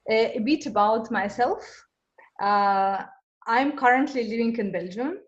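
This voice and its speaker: A woman's voice: fairly high pitch, unhurried, dull audio. A woman from Russia who speaks, answering interview questions in a hesitant way, with many fillers and restarts. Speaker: interview guest. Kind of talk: conversational interview.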